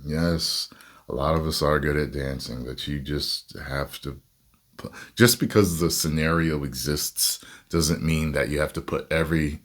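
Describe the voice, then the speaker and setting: A man's voice: very deep voice, measured pace. A man hosting a reaction video, chatting casually and laughing often between points. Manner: laughs often, animated asides. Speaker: reaction host.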